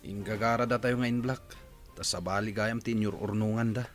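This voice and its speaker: A concerned village man played by a male actor in a radio drama. His voice is fairly low as he talks over family troubles. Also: fairly even intonation, brisk, music in the background, lightly acted. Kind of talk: Ilocano radio drama acting.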